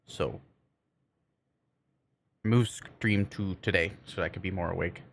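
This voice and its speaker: A man's voice: low-pitched, fairly even intonation, slow pace, gravelly, a little hiss or hum. A man streaming a game and chatting casually with viewers, rambling and often searching for his words. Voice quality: cannot roll R's. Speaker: game livestreamer. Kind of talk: casual livestream chat.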